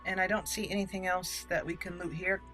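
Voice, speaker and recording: Woman gamer, bright clear-cut tone, casual commentary, playful, thinking aloud, music behind. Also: lowish voice, level tone, a little fast, low-quality recording. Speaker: gaming channel host and player. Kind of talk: casual let's play commentary. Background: game music.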